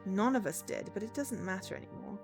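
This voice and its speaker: A woman voicing an earnest, anxious young female guard in a cyberpunk game, soft-hearted and pleading. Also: mid-range voice, big pitch swings, fairly quick, vocal fry, over music.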